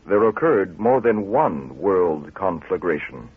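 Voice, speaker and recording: A man delivering scripted documentary narration in a grave, formal, measured manner. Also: deep voice, sing-song, slightly rough. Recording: tinny, band-limited radio recording.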